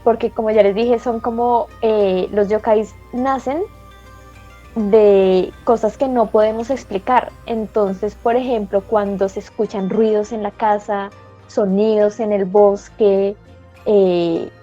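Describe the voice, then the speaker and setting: A woman with a soft, mellow tone talks through her explanation with an unhurried, careful manner and the odd filler. The audio is slightly dull and lo-fi, with faint music underneath. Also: medium pitch. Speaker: co-host. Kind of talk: explanatory talk show chat.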